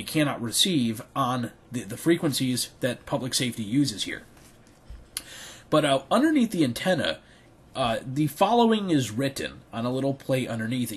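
Husky-voiced man in a casual tutorial. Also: mid-range voice. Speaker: video creator demonstrating radio gear. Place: small bedroom, indoors.

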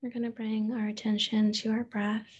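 A woman therapist leading a guided meditation in a gentle, unhurried manner, with pauses and open questions. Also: fairly high pitch, level tone, husky, lo-fi sound.

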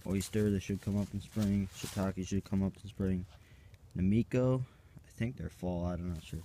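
A man's voice: low-pitched, steady tone, normal speed, warm tone, slight background noise. A young man giving a rambling, hesitant walkaround tour of his farm outdoors, filming on a handheld phone. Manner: rambling, hesitant, unsure of plans. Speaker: farm vlogger. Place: outdoors on a farm yard and woods.

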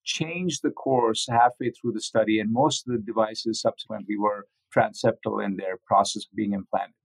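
A man giving measured, methodical explanations as an interviewed medical researcher. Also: lowish voice, fairly even intonation, creaky, no room sound, rough recording.

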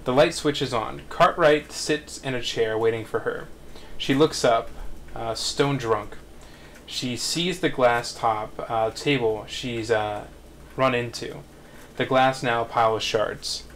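A man's voice: mid-range voice, slow pace, fairly bright tone. A man reading stage directions as narrator at a script table read. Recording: faint background noise.

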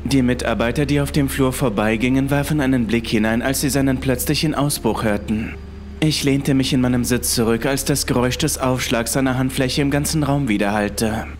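A man's voice: medium pitch, fairly quick. A man reading a scripted first-person horror story as its narrator. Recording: music behind the voice. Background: music.

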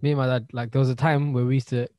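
A man's voice: medium pitch, little pitch movement, rapid-fire, warm tone, no room sound, lo-fi sound. A man in his twenties with a London accent, chatting and telling stories in slangy speech as a podcast co-host. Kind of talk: casual chat, storytelling. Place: indoors, remote video call.

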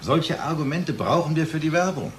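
An actor playing a brisk, market-minded pharmaceutical boss, pompous and impatient, in a German radio drama. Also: male voice, on the high side, animated, a bit bright, slight background noise. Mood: pushy. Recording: clear background room ambience.

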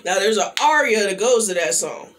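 A young woman chatting casually to the camera in a small room, clearing her throat now and then. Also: mid-range voice, a little hiss or hum. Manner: slow, relaxed talk with frequent throat clearing. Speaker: channel host. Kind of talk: casual vlog chat. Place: small indoor room, plain backdrop.

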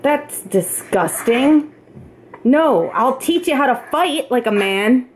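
A woman's voice: sits high, animated, slow pace, a little hiss or hum, poor audio. A woman voicing a hot-tempered, blunt and irritable teenage boy student in an amateur puppet show. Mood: irritated, blunt.

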